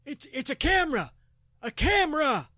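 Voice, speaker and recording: An actress playing a nervous, folksy small-town mob member in a 1940s radio drama, with a hesitant manner. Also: female voice, sits high, sing-song, normal speed, pushed and bright, dry recording, clean studio sound, heavily acted. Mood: nervous, sullen.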